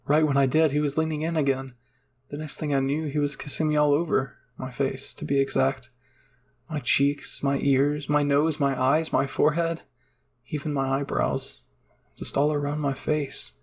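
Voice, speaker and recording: A man narrating first-person fan fiction as a roleplay reading, in a soft, dramatic storytelling manner. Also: a little high, level tone, normal speed, slight background noise.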